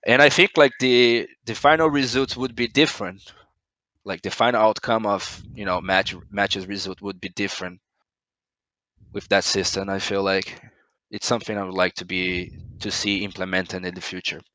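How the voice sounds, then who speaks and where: Brazilian male gamer, very low voice, dry home recording, thoughtful and halting. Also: fairly even intonation, pushed and bright, no room sound, poor audio. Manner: thoughtful, searching for words, many fillers. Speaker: pro esports player guest. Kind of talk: casual interview over voice chat.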